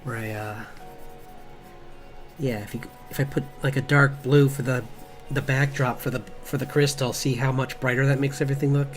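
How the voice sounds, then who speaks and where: A man streaming as he draws, chatting casually in a halting, rambling way as an artist. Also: mid-range voice, steady tone. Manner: halting, rambling, chuckling asides. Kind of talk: casual livestream chat while drawing. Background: background music.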